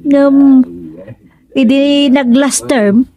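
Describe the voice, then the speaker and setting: A woman's voice: high-pitched, steady tone, drawn-out words, mellow, rough recording. An actress with an Ilocano accent playing a practical, chatty village businesswoman in a radio comedy-drama. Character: practical village woman running a funeral parlour.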